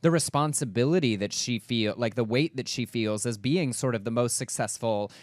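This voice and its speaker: A man's voice: medium pitch, moderate pace, dry recording. A man co-hosting a casual talk show in a mid-range voice. He speaks articulately and reflects as he tells a story. The sound is close and dry. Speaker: co-host. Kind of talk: casual chat.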